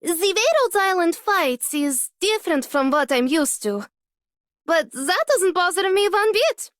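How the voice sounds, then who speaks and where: A woman's voice: very high-pitched, very animated, unhurried, fairly bright tone, dry recording, clean studio sound, exaggerated voice. A woman voicing a plucky, confident young fighter girl in a game. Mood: confident, reassuring. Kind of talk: scripted game character voice line.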